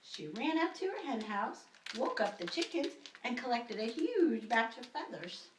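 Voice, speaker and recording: A woman storyteller narrates for children in a fairly high, very animated voice. She takes her time and reads expressively. The sound is roomy and slightly distant, with faint noise. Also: measured pace.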